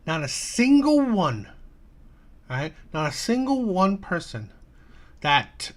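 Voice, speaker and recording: A man hosting a live stream and giving reaction commentary, talking in a halting way with many restarts and tag questions like "right?". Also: fairly high pitch, bright, forceful tone. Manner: halting, repeats "right?" and "okay?".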